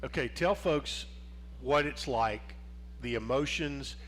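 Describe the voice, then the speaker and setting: A man's voice: medium pitch, slow pace, fairly bright tone, a little hiss or hum. A man hosting a casual sports interview, rambling with many restarts and fillers. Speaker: sports show host.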